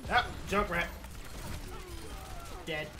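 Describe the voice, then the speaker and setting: A man with a high voice chats casually while gaming. His tone is bright and bold, and he keeps up a wry running commentary and grumbles about opponents and balance. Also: very slow. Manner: wry, complaining commentary on the game. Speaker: co-op gaming partner.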